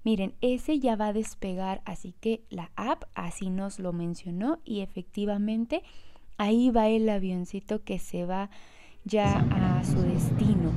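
A woman's voice: mid-range voice, mellow. A Mexican woman casually narrating a travel vlog from a café terrace by an airport, talking straight to her viewers and fond of little diminutives. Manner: chatty, addresses viewers directly, fond of diminutives. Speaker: vlogger.

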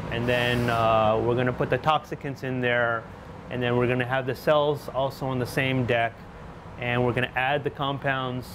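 A middle-aged man explains his research in a relaxed, unscripted way, pausing with fillers and restarting sentences. His voice sits at an ordinary pitch for a man, with a faint hum of air conditioning in the lab behind him. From Taiwan, grew up in the US. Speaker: research scientist. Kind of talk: casual interview about his lab work. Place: indoor laboratory.

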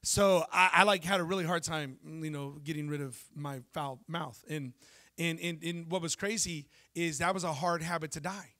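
A man in his thirties preaching a sermon on a church stage into a handheld mic, telling lively stories and asking the congregation questions. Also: a little high, moderate pace. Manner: animated storytelling preacher, jokes and asks the crowd questions. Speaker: pastor.

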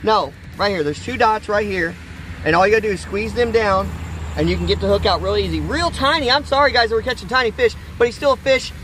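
A high-pitched American man hosts a casual outdoor vlog. He talks in a folksy, chatty way straight to viewers. The handheld camera mic picks up light ambient noise around him. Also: fast. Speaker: vlog host, father fishing with family. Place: outdoors beside a park pond. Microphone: handheld phone camera a few feet away.

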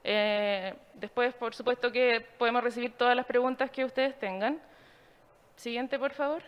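Woman, fairly high voice, formal presenter, measured and explanatory. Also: fairly even intonation, clean tone, highs cut off.

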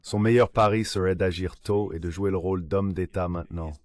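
Deep-voiced man reading an interview translation. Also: steady tone, fairly quick, a little mellow. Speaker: AI dubbing voice for an interview guest. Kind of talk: translated interview voice-over, read out.

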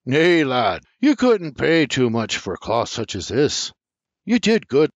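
A man voicing a gentle, admiring tailor uncle. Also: high voice, huge pitch swings, a little slow, slightly rough, no echo, pitched above the performer's own voice, full character voice. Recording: slightly muffled dry recording.

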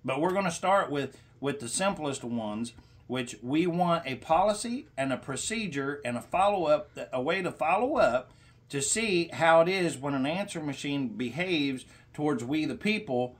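Insistent middle-aged man, lecturing and halting. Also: fairly high pitch, expressive. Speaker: caller to a senator's office. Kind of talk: confrontational phone complaint. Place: small room indoors.

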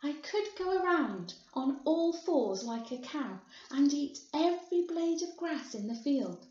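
Woman as a gleeful old grandpa, lively pitch. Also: unhurried. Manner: gleeful, exclaiming. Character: delighted, enthusiastic old grandfather. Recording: muffled, boxy echoing room.

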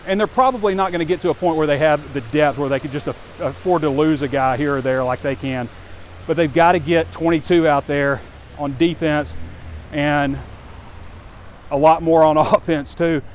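A man's voice: a little high, normal speed. A man in his forties giving candid, rambling sports commentary while walking outdoors, recorded on a handheld phone. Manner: candid, conversational, rambling with fillers. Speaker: sports site publisher. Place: outdoors on a campus street near a stadium. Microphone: phone camera held close while walking. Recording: faint outdoor street noise.

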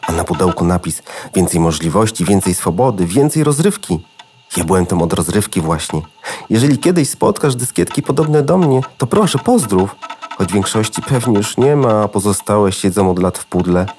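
A man's voice: on the low side, big pitch swings, normal speed, darkish tone, faint music behind. A man voicing an old, forgotten floppy disk in a children's audio drama, courteous, old-fashioned and melodramatic. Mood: wistful, explanatory.

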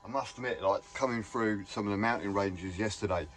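A man chatting casually to camera from inside his camper van, rambling and enthusiastic, his voice a little hoarse. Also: fairly low pitch, brisk. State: slightly hoarse. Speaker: vlogger. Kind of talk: casual vlog chat.

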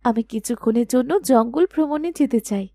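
A woman's voice: fairly high pitch, a little fast, mellow, no room sound, studio quality. A woman voicing a haughty, scheming stepmother queen in a fairy-tale cartoon, commanding and two-faced. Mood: composed, commanding.

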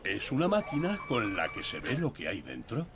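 A woman voicing a patient, kindly daddy pig in a dubbed cartoon, gently explaining. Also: extremely low pitch, huge pitch swings, moderate pace, very noisy, heavily acted. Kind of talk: cartoon dubbing.

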